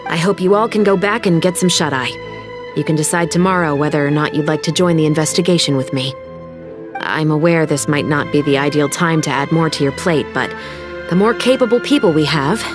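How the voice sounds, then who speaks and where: A woman playing a composed, principled young musketeer officer in a video game, speaking in a measured, formal, earnest way. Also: low voice, lightly acted. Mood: earnest, serious. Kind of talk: dramatic game voice acting. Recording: music behind the voice.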